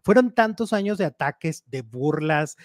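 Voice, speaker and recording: A man co-hosting a casual gossip show, animated and chatty as he reads out and comments on news items. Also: fairly high pitch, normal speed, dry recording, studio quality.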